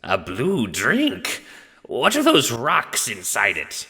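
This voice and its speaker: Audio drama role: big dim lug of a death god played by a man, pitched up, swooping, bitter and crude, heavy reverb. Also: huge pitch swings, takes it slowly, creaky, pushed and bright, exaggerated voice. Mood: amazed, delighted. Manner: blunt, slangy, casually profane.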